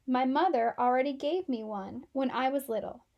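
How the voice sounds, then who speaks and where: A woman's voice: sits high, moderate pace, vocal fry, muffled recording. A woman voicing a miserable, put-upon male schoolteacher in a children's storybook, weary and reproachful. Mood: firm. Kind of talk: children's storybook read-aloud.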